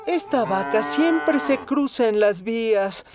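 A high, slow-talking little cartoon steam engine, proud and easily offended. The Latin American Spanish is huffy and indignant, with music playing behind it. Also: male voice, lively intonation, full character voice. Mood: irritated. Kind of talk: animated children's series dub.

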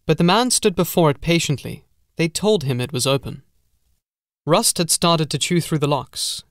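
A man's voice: on the high side, no room sound, clean studio sound. A man reading scripted gothic horror narration as an audiobook narrator.

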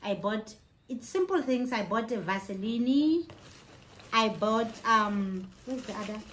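The South African woman you would hear filming a chatty home vlog for her followers, high-voiced, expressive, slipping between languages. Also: measured pace, slight background noise. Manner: excited, expressive. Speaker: vlogger. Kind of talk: casual vlog chat mixing in another language. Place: living room and kitchen at home.

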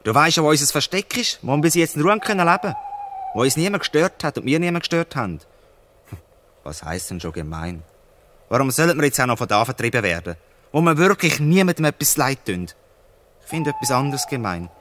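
A man's voice: fairly high pitch, very animated, fairly quick, noisy background. An actor playing a wary, defiant orphan boy in a Swiss German radio play.

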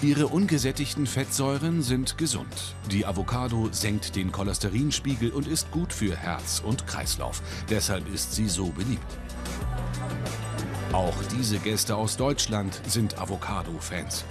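Animated male TV voice-over narrator. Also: on the low side, a little slow, music in the background. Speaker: reporter-narrator. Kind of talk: scripted TV magazine voice-over.

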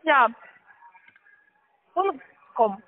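A woman with a foreign accent, not a native German speaker, giving halting, insistent instructions as a call-centre caller. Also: high voice, very animated. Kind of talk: scripted phone instructions, spelling out slowly. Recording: tinny, narrow-band phone line.

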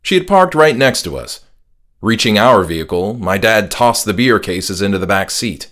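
Low-pitched man narrating horror fiction. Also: expressive, normal speed, fairly bright tone. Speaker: author and audiobook narrator. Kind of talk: scripted first-person horror narration.

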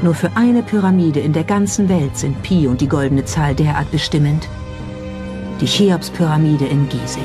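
A documentary narrator reading a script in a measured, suspenseful storytelling manner. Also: mid-range voice. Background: background music.

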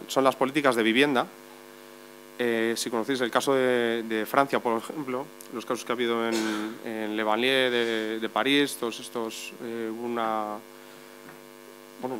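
A man's voice: mid-range voice, fairly bright tone, poor audio. Guy on a debate panel laying out points one by one, asks himself questions, faint hum behind. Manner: explanatory, builds points with lists and rhetorical questions. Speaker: journalist on a panel. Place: meeting room.

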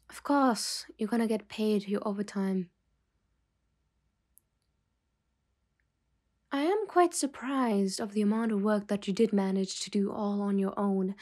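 A woman playing a stern but softening mafia boss in an intimate ASMR roleplay, speaking in measured phrases with pauses, often nearly whispering. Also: medium pitch, close to an everyday voice. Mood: mildly scolding.

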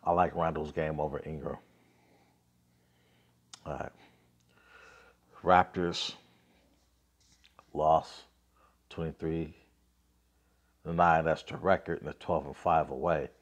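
Deep-voiced guy with a cold doing a laid-back hoops podcast on his phone, lots of uhs. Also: fairly even intonation, slow pace, long pauses. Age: 30s. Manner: offhand, lots of fillers, reads scores aloud. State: getting over a cold, coughing. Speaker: basketball podcast host. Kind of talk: casual sports recap and rant. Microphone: phone, selfie framing.

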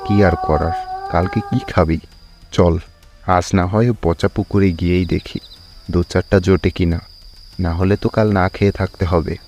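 A man's voice: sits low, unhurried, a little mellow, faint music behind. A man voicing a stubborn, dismissive village father who fishes, in a horror cartoon story. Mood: resigned. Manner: dismissive, scolding.

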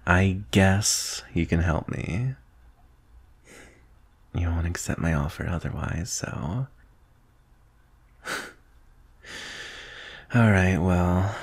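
A young man playing a shy, adoring best friend in an intimate boyfriend ASMR roleplay, speaking softly and hesitantly. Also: sits low, takes it slowly, pauses often, heavily acted. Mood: caring, surprised. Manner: hesitant, soft-spoken, breathy sighs and nervous chuckles. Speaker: audio roleplay performer.

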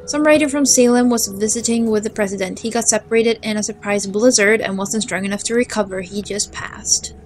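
Blunt small-town innkeeper, read by a woman, plain reading, middle pitch. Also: brisk, hardly any pauses, slight background noise, lightly acted. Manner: read plainly in her own voice.

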